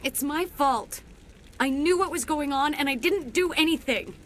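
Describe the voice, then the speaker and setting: An actress playing an earnest, guilt-ridden young researcher from a space colony in a video game. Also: female voice, high voice, moderate pace, a little hiss or hum, close to an everyday voice. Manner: earnest, anxious. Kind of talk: dramatic video game acting.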